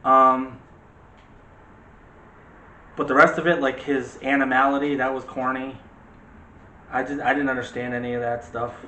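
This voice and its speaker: A man's voice: medium pitch, little pitch movement, moderate pace, long pauses, bright, forceful tone. A man hosting a casual movie discussion, rambling along and correcting himself as he goes. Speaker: host of a film discussion show. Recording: roomy echo with faint background noise.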